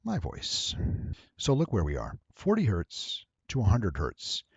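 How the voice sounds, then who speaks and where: A man giving a casual, unscripted software tutorial in a deep, husky voice, with wry, playful asides. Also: huge pitch swings, measured pace, dry recording, muffled recording.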